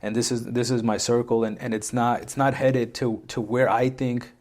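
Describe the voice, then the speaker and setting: A man of middling pitch hosts a podcast in easygoing conversation. His talk is loose and full of fillers, and he keeps checking in with his co-host as he goes. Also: level tone, moderate pace, a little mellow. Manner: conversational, leans on "like" and "right?", repeats words. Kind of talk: casual podcast chat.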